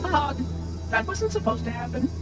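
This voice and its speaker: A prim, courteous robot caretaker from a video game, speaks high and with swooping intonation over loud music. The phrasing is formal and exact, with a synthetic edge and officious cheer. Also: male voice, very animated, moderate pace, distant mic. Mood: prim, cheerful. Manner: formal, precise, prim wording. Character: fussy, polite floating robot monitor, cheerful and officious. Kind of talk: video game cutscene acting.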